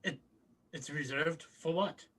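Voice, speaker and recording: A man playing a shifty, nervous impostor in a table read, halting and evasive. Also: a little high, a bit bright, husky. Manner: halting, stammering. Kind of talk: film script table read.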